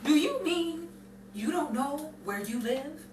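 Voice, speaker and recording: A woman's voice: high-pitched, expressive, takes it slowly, darkish tone. A woman playing a skeptical passenger who needles with pointed questions in an old-time radio comedy. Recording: roomy, distant, faint noise and crowd sound.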